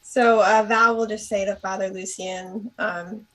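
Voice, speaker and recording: A woman's voice: medium pitch, steady tone, a little slow, poor audio. A woman playing a tabletop game, asking questions and describing her character in casual, tentative talk, away from the microphone.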